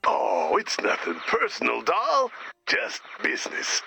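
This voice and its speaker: A man's voice: mid-range voice, huge pitch swings, slow pace, creaky, sharp tone, heavily acted. A man voicing a game's director turned werewolf executive, pompously talking in menacing corporate jargon. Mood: smug, predatory. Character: director turning into a corporate-minded werewolf businessman. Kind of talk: video game voice acting.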